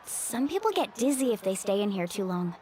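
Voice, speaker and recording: A woman's voice: mid-range voice, sing-song, fairly quick, dark tone, slight background noise, lightly acted. An actress playing an upbeat, easygoing young woman who takes charge in an anime game, breezy and chatty.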